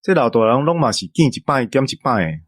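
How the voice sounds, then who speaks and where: A man's voice: medium pitch, very animated, fairly quick, no echo, studio quality. A young man with a Taiwanese accent chatting casually and conversationally as a co-host.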